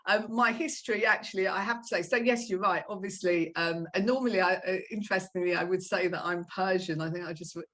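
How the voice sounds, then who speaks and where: A middle-aged woman being interviewed, talking casually with many pauses and filler sounds. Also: lowish voice, fairly bright tone. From Iran. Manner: hesitant, many ums, laughs easily. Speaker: guest.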